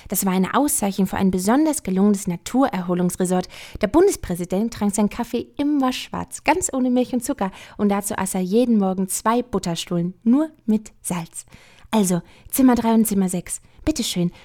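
A woman's voice: mid-range voice, expressive, normal speed, mellow, close to an everyday voice. A woman playing a quaint small-town hotel receptionist in an audio drama, chatty and digressive in a polite, matter-of-fact way. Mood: polite, long-winded.

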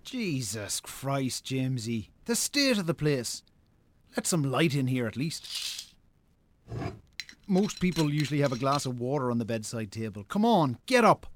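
An actor playing a farmer's brisk, exasperated brother in a radio drama, scolding impatiently in an Irish accent. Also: male voice, medium pitch, sing-song, slight background noise. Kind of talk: naturalistic radio drama acting.

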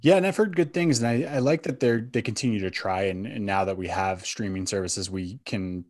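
Low-voiced man in casual, dry-sounding chat. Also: brisk, no room sound. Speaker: podcast co-host.

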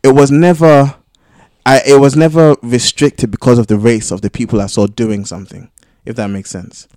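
A man in a casual podcast discussion, arguing his points in a halting way as he thinks them through. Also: medium pitch, steady tone, dark tone, no room sound, studio quality. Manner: halting, thinking aloud, argumentative. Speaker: podcast panellist. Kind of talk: casual discussion among friends.

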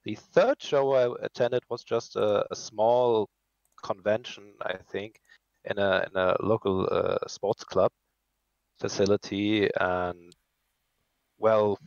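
A man's voice: fairly low pitch, steady tone, slow, vocal fry, dry recording. A man in his twenties from Germany chatting casually as a guest on a podcast. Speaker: podcast guest, hobby train builder. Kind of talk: casual chat. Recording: dull, dry lo-fi call audio.

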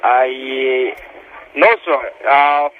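A man's voice: medium pitch, level tone, extremely slow. A man giving repetitive, insistent step-by-step instructions as a tech support caller, addressing the listener as sir over a phone line. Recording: tinny phone line with background noise.

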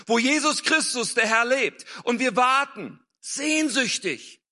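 A man's voice: very high voice, animated, pushed and bright. A man reading scripture and interpreting a sermon phrase by phrase in an earnest manner. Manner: quick, earnest, restating phrases.

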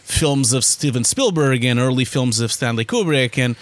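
A man, a podcast guest in casual interview chat who tells his story in an animated, rambling way with many restarts. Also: mid-range voice, normal speed. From Portugal.

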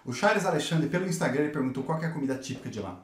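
A man's voice: a little high, lively intonation, rapid-fire, roomy sound. A man hosting a casual interview, reading out viewer questions with curious, chatty interest. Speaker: host.

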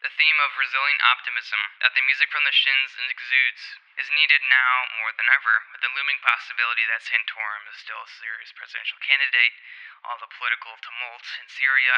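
A man delivers a scripted music column as a voice message. His voice is very bright and crisp, and his phrasing is articulate and essay-like. The recording is a little dull and lo-fi. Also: mid-range voice, little pitch movement, brisk. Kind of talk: scripted opinion commentary, framed as a voice message.

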